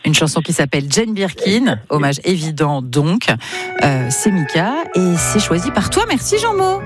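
A woman's voice: on the low side, big pitch swings, normal speed, over music. A woman hosting a radio show, chatting casually with a caller in a friendly, informal and enthusiastic way. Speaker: radio host.

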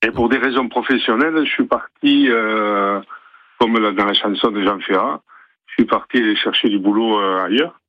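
A middle-aged man from southern France calling in to a radio show, rambling with many pauses. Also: fairly low pitch, dry recording. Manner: rambling, hesitant with many fillers. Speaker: caller. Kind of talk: phone-in chat. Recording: muffled phone-line audio.

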